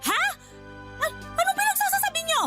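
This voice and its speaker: A woman's voice: extremely high pitch, animated, a little fast, over loud music. A woman with a Filipino accent playing an earnest, deferential, distressed nanny in a radio drama. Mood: shocked, flustered. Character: loyal, distressed household nanny.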